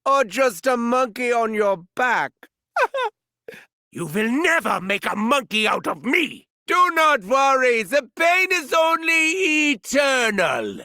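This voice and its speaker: An actor playing a gleeful, sadistic mad scientist villain in a video game, theatrical and taunting, with a German accent. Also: male voice, extremely high pitch, very animated, slow, pushed and bright, no echo, clean studio sound, exaggerated voice. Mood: manic glee. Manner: theatrical, swooping from gleeful shrieks to sinister murmurs. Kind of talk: video game character voice lines.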